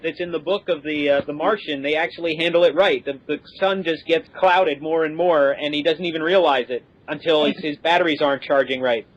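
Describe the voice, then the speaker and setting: A man's voice: on the high side, steady tone, a little fast, hardly any pauses, muffled recording. A man explaining things casually in a panel discussion, correcting himself as he goes.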